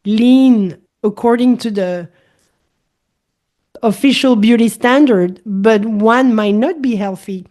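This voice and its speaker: A woman's voice: fairly high pitch, takes it slowly, a little mellow. A middle-aged woman speaking English with a French accent, a holistic coach explaining her ideas as a guest in a casual interview, thoughtful and repeating her key points.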